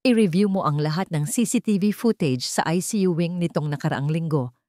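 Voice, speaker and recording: A woman's voice: lowish voice, a little fast, warm tone, dry recording, studio quality, lightly acted. A woman voicing an agitated, domineering male doctor who gives urgent orders in a narrated story. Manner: commanding, urgent.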